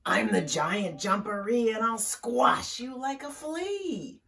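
A woman's voice: high voice, expressive, takes it slowly, bright, forceful tone, distant mic, pitched above the performer's own voice, heavily acted. A woman voicing a boastful, menacing hidden monster from a children's storybook in sing-song boasts, a little away from the mic. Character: boastful hidden giant jumperee, loud and menacing.

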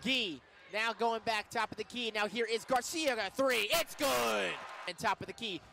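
A man calling basketball play-by-play on the radio, with excitable, punchy calls. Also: very high voice, normal speed, vocal fry. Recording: arena crowd and court noise behind. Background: basketball game in an arena.

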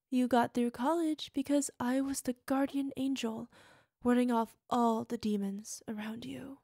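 A volatile, bitter young girlfriend, as an actress plays her, with a high voice that has gravelly fry running through it. She speaks slowly, drops to hushed whispers and flares into swearing, and the sound is close and dry. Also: dry recording. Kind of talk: audio roleplay monologue.

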